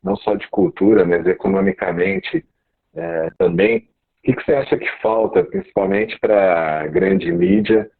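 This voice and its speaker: Husky, deep-voiced laid-back host. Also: male voice, measured pace. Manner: relaxed, informal. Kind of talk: live interview. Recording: dull lo-fi live stream audio.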